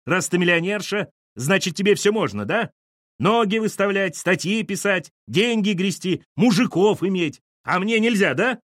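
A man's voice: a little high, fairly quick, few pauses, no room sound, clean studio sound, pitched above the performer's own voice, lightly acted. A man voicing a spiteful young male colleague who sneers and threatens, in an audiobook reading. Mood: sneering. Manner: sneering, vicious.

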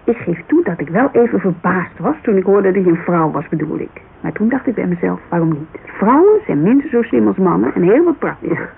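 A woman's voice: medium pitch, animated, a little fast. Blunt, headstrong woman, crackly narrow-band radio. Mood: insistent. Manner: blunt, insistent, exasperated. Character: headstrong, blunt businesswoman client pressing a detective. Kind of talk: Dutch radio drama acting, conversational. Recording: narrow, noisy, creaky-sounding old radio broadcast.

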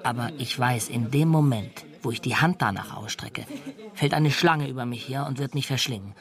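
A man's voice: medium pitch, normal speed, soft background music, natural voice. An actor playing a brooding, anxious, dreamy male drifter in a radio drama, speaking in an earnest, introspective way. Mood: brooding, intense.

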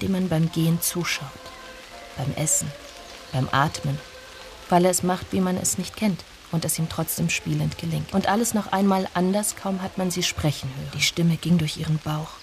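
An actor playing a somber villager who narrates a radio drama in a measured, brooding way. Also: medium pitch, creaky, natural voice. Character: somber village narrator recalling an old tragedy. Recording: music bed under the voice. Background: music.

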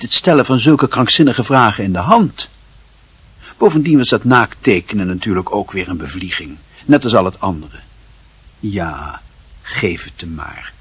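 Very raspy, wry male narrator. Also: lowish voice, fairly bright tone, dry recording. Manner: wry, theatrical emphasis. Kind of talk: scripted storytelling narration in a radio play.

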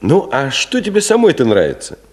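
An actor playing a gentle, wistful middle-aged teacher with a hesitant, teasing, colloquial way of talking in a 1970s Soviet radio play. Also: male voice, a little high, huge pitch swings, fairly quick. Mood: curious, wistful.

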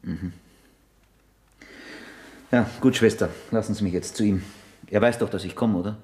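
A dry, skeptical detective inspector in a radio play. He presses his questions in a lowish, husky voice. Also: moderate pace, a little mellow. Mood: dry, brisk. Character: probing, skeptical male police detective inspector. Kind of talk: radio drama acting.

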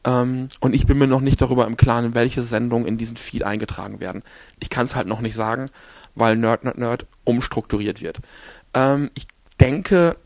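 Hoarse, gravelly male podcast host. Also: medium pitch, steady tone, moderate pace, dry recording. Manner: rambling, with many fillers and restarts. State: hoarse after illness. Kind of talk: casual solo podcast talk.

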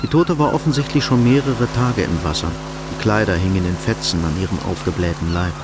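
A man's voice: on the low side, fairly quick, heavy background noise. A man reading scripted literary narration for a radio drama.

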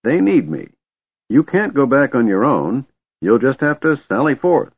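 High, flustered old wizard. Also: male voice, very animated, a little slow, slightly rough, no echo, phone-quality sound, exaggerated voice. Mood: annoyed, bossy. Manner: indignant, muttering, flustered. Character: doddering, pompous, absent-minded old male wizard.

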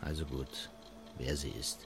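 An actor playing a wry, sceptical colleague in a radio drama, speaking in a dry, blunt, teasing way. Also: male voice, very deep voice, fairly even intonation, a little slow, creaky, over music, exaggerated voice.

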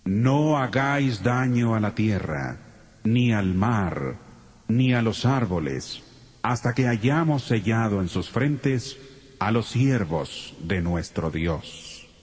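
A man voicing a solemn, commanding angel with a husky voice in a dramatized audio Bible, making a proclamation. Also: on the low side, lively intonation, slow, darkish tone, heavily acted. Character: solemn angel bearing God's seal, commanding. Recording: long hall reverb.

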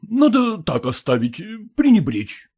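Storybook role: blustering, curt gentleman husband played by a male narrator, high-pitched, imperious, animated. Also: measured pace, slightly rough, full character voice. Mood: indignant. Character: indignant, blustering gentleman husband, easily outraged.

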